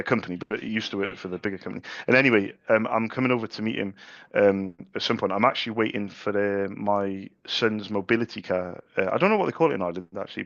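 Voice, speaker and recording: A man's voice: lowish voice, gravelly, a bit bright, no echo, dull audio. A middle-aged man, a salesman talking casually on a sales call, chatty and repeating words as he restarts his sentences.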